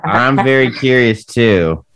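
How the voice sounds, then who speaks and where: A man's voice: mid-range voice, lively intonation, slow pace, fairly bright tone, slight background noise. A man chatting casually as a podcast guest, rambling along with frequent filler phrases. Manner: rambling, with frequent "you know". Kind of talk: casual chat.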